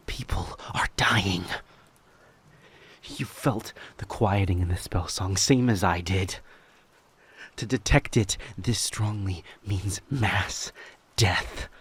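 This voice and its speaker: A man voices an intense male demon in a dramatic audio roleplay. His voice is low and breathy, with slow, weighted phrasing and a confrontational, grave urgency. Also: a bit bright, heavily acted. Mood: urgent, grave.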